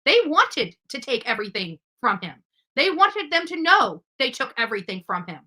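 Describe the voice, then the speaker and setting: A woman hosting a true-crime livestream, commenting over footage in a halting, emphatic way with repeated words. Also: high-pitched, sing-song, bright, forceful tone. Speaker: livestream host.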